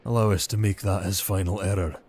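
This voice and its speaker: A man's voice: deep voice, fairly even intonation, a little fast, a little mellow. A man playing a wry young noble heir and plotter in a fantasy audio drama, speaking drily and formally. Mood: quiet defiance.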